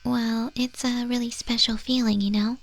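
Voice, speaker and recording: A woman voicing a gentle, sincere moth monster girl tattoo artist in a soft, breathy, tender ASMR roleplay. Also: fairly high pitch, little pitch movement, normal speed, low-quality recording.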